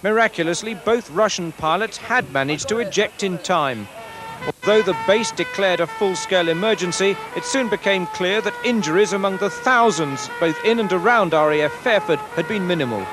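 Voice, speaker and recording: A man's voice: sits high, expressive, moderate pace, music in the background. A British man narrating a scripted television news report as a reporter. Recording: archive TV report, noisy outdoor background.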